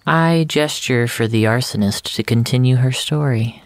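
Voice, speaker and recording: A man's voice: medium pitch, normal speed, dry recording, close to an everyday voice. An actor playing a depressed, regretful man who narrates his own story in a brooding, confessional manner, as in a scripted audio drama.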